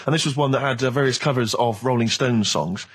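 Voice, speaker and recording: A man's voice: medium pitch, fairly quick, breathy edge. A man, a radio co-host telling comic anecdotes in an exasperated, ranting way. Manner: exasperated ranting storyteller, mimics people he describes.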